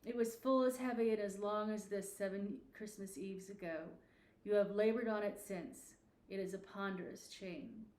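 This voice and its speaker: A woman's voice: medium pitch, normal speed, darkish tone, close to an everyday voice. Woman doing a mournful old-fashioned ghost guy, stern n solemn, storybook reading, echoey room. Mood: grim, reproachful. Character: mournful, stern ghost of a dead male businessman.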